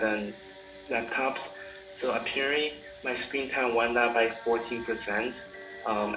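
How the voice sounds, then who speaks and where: An eighteen-year-old man studying communication, answering in careful, halting phrases. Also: medium pitch, reverberant, far from the mic, rough recording. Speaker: communication student. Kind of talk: casual interview answers.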